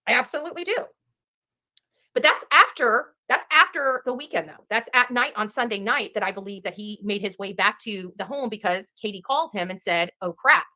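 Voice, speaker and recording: A woman's voice: mid-range voice, a little fast, pushed and bright. A woman talking as an online true crime commentator in a livestream style, emphatic and given to repeating her phrases. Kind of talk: livestream case commentary and casual chat.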